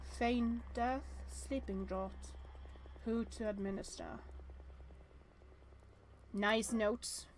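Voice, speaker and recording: A woman game streamer reading a visual novel aloud with casual commentary, often hesitant and thinking out loud in fragments. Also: fairly high pitch, steady tone, very slow, long pauses, slight background noise.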